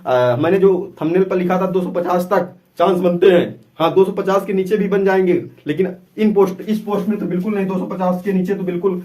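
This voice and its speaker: A man's voice: high voice, fairly even intonation, fairly quick, few pauses, mellow. A man giving an informal, instructive explanation to viewers, often repeating himself and urging them to pay attention. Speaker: exam-prep YouTube presenter.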